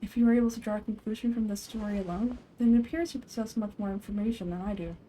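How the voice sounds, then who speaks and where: A woman voices a stern exiled investigator, a man of measured, formal words. The delivery is deliberate game dialogue, with music playing behind. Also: mid-range voice, fairly even intonation, warm tone, a little hiss or hum, natural voice, rough recording. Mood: probing.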